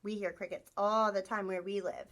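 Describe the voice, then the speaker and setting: A woman teacher reading a picture book aloud to young children in an expressive storytelling style, acting out sound effects. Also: medium pitch, moderate pace. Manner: animated, acts out sound effects, asks listeners questions.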